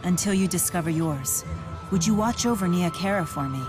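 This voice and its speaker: An actress playing a calm, dignified and resolute spirit lady in a video game, speaking formally. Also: on the low side, moderate pace, close to an everyday voice. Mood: gentle, composed. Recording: loud music behind the voice. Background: loud background music.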